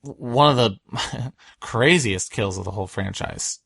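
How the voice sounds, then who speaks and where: A man co-hosting a podcast in casual chat, enthusiastic and rambling, his voice going hoarse. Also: mid-range voice, slow pace, a bit bright, no echo. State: losing his voice. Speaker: podcast co-host.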